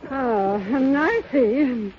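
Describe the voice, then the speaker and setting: An actress playing a pompous, fluttery high-society matron with formal diction in 1930s radio comedy style. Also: female voice, lively intonation, drawn-out words, heavily acted. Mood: haughty, puzzled. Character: haughty, flustered high-society matron with a butler. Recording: muffled, noisy 1930s radio recording.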